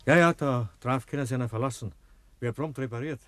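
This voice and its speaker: A man's voice: mid-range voice, brisk, dry recording, lightly acted. An actor playing a chatty, businesslike garage man with a Bavarian accent in a 1950s dialect radio play. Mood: businesslike, curious.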